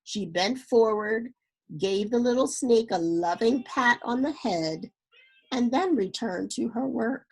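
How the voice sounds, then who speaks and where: A woman reading a children's story aloud in an expressive, dramatic way with unhurried phrasing. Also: a little high, breathy edge, low-quality recording. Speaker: storybook reader.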